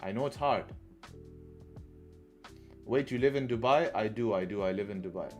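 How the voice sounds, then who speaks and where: A man's voice: fairly low pitch, normal speed, a little mellow, soft background music. Chatty man hosting a trading stream. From England. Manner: chatty, repeats phrases, answers chat questions. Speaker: livestream trading host. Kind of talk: casual live-stream chat.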